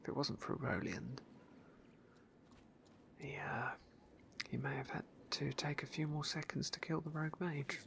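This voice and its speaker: A man whispering ASMR narration of a video game playthrough in a soft, unhurried, genteel way. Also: fairly high pitch, vocal fry, slight background noise.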